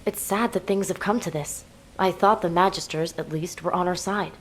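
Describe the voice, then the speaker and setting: A woman's voice: lowish voice, moderate pace, slight background noise, natural voice, poor audio. An actress playing a cool, independent female fighter in a video game, curt and matter-of-fact. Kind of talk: video game cutscene acting.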